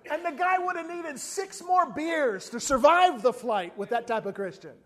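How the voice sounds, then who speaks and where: A man's voice: very high voice, moderate pace. A man preaching a sermon as an evangelist, telling a funny story and briefly acting out the people in it. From not from South Africa. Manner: animated storytelling, acts out other people's voices.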